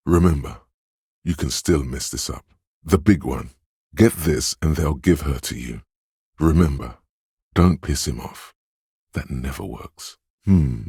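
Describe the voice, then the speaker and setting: An actor voicing a sly inner voice in a video game, reading people and coaching in a measured, knowing, insinuating way with a gravelly, husky delivery. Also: male voice, a bass voice, darkish tone, dry recording, studio quality, full character voice. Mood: cautioning, then sly, appraising. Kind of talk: scripted video game narration.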